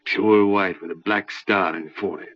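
An actor playing a greedy, scheming Old West outlaw leader in an old radio western. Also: male voice, low voice, fairly even intonation, normal speed, fairly bright tone. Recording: muffled old radio recording with music.

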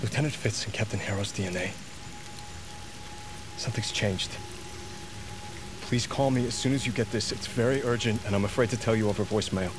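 A man's voice: fairly low pitch, a little fast, pauses often, music in the background, close to an everyday voice. A man playing an urgent, secretive colleague leaving a warning on voicemail in an audio drama. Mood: urgent, anxious. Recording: voicemail with clear rainy background noise.

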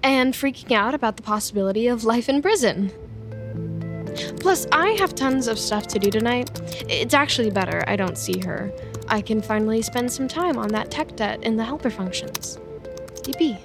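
An actress playing an awkward, sardonic young office programmer in an audio drama, hesitant and dryly sarcastic. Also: female voice, on the high side, expressive, normal speed, over music. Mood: wry, lonely. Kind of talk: audio drama dialogue and narration.

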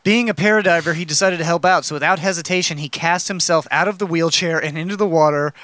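A man's voice: a little high, fast talker, a bit bright. A man co-hosting a casual comedy podcast, reading out odd news stories and joking crudely.

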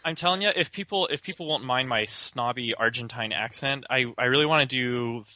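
A man's voice: mid-range voice, brisk, sharp tone, no room sound. A man co-hosting a podcast in casual chat, joking and riffing playfully on ideas. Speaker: podcast co-host.

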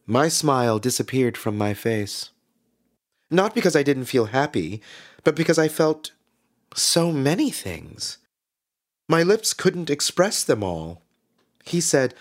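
An actor playing a cheerful, sentimental small-town community radio host, telling stories in an earnest, dramatic, deliberate scripted monologue. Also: male voice, mid-range voice, sing-song. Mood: earnest, wistful. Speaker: radio host narrator in a fiction podcast.

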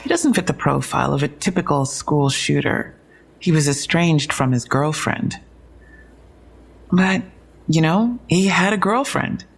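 Female police detective, audio drama: gravelly edge, low-key factual briefing, dry humor. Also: expressive, a little slow, exaggerated voice. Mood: matter-of-fact, wry. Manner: conversational briefing, wry asides. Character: matter-of-fact young female police detective, dryly wry.

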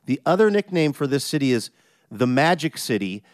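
A man hosting a radio show in a casual, chatty way, his voice a bit hoarse from a cold. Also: mid-range voice, sing-song, fairly quick, darkish tone, no echo, clean studio sound. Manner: upbeat, chatty, jokey.

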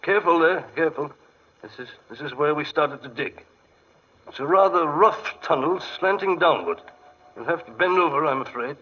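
An actor playing an excitable, fussy English gentleman archaeologist in 1940s radio style, often hesitant, with an English accent. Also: fairly high pitch, moderate pace, husky, heavily acted. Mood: fussy, guiding. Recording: muffled 1940s radio broadcast.

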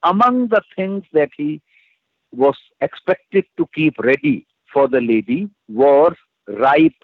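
A man speaks fervently but in measured phrases, his voice at a middling pitch. He comes through a tinny, phone-like line. The sound is dry, with faint radio-like coloring. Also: lively intonation, no room sound. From India. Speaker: filmmaker and writer interviewed.

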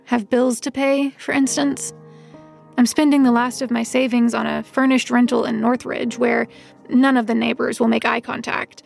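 Weary, high-pitched, creaky narrator. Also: female voice. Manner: measured, literary, wry. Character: world-weary, recovering-alcoholic woman narrator, reluctant detective's helper. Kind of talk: first-person scripted audio drama narration.

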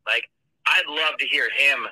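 A man's voice: medium pitch, a little slow, pushed and bright, no echo, rough recording. A man leaving a casual sports rant as a listener voicemail, blunt, mocking and slangy, with some swearing. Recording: dry voicemail phone recording.

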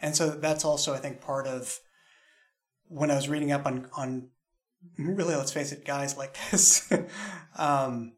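A man's voice: mid-range voice, steady tone, measured pace. A man hosting a casual roundtable chat as a show creator, talking in a rambling, jokey way.

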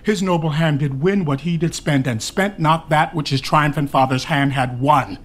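Man acting grieving old duke, slightly high voice, earnest, pleading, classical verse. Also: moderate pace, lightly acted. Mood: grieved. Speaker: actor.

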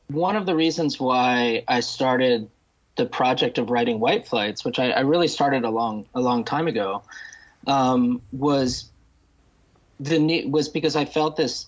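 Halting male guest, slightly muffled audio. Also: mid-range voice. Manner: halting, hesitant delivery with repeated words. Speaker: guest, novelist and critic. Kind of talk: thoughtful interview discussion.

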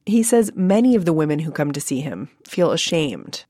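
An American woman with a lowish, very soft-edged mellow voice narrates as a podcast host. The sound is dry and close, recorded in a studio. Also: very animated, moderate pace, no echo. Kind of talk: scripted narration and interviewing.

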